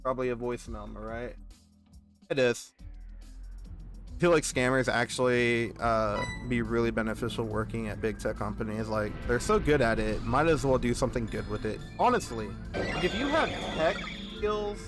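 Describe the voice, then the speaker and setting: Young man in his twenties, gaming host, rambling and animated, mid-pitched, faint music underneath. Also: moderate pace. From Texas. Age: 20s. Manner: animated, complaining storyteller. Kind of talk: casual rambling chat while gaming.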